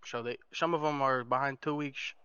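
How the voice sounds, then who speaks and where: Man as bright, clear-voiced indebted mobster. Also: medium pitch, steady tone, moderate pace, dry recording, highs cut off, natural voice. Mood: explaining, uneasy. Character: indebted mobster explaining who owes what. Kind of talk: improvised crime roleplay in an online game.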